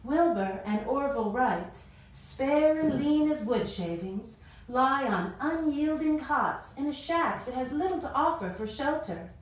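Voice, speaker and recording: A woman's voice: high-pitched, expressive, a little slow. Lady narrating a radio play story, echoey room, kinda far from the mic. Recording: roomy echo, distant mic, music behind.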